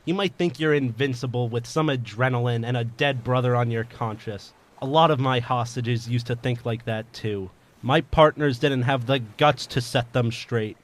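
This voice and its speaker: A man's voice: mid-range voice, normal speed, hardly any pauses, natural voice. A man playing a ruthless armed bank robber in an audio drama, speaking in a domineering, commanding, menacing way. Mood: cold menace.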